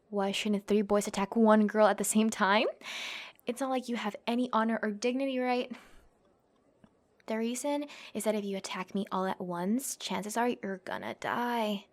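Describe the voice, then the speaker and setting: A woman's voice: medium pitch, close to an everyday voice. A woman acting a cocky, taunting young female fighter. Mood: threatening, confident. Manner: taunting, swaggering, foul-mouthed, theatrical pauses and scoffing. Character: cocky young female MMA fighter classmate, menacing, protective tsundere. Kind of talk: ASMR roleplay monologue.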